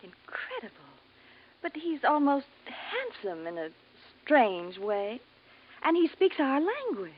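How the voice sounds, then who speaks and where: A woman's voice: sits high, huge pitch swings, slow pace, full character voice. An actress playing an earnest, amazed young woman on a space expedition in vintage radio drama style. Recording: dull, faintly noisy old radio recording.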